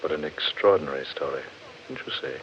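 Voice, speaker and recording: An actor playing an eager, credulous English gentleman in 1940s radio horror, hesitant and emphatic. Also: a bass voice, a little fast. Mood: earnest, intrigued. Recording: muffled, noisy vintage radio recording.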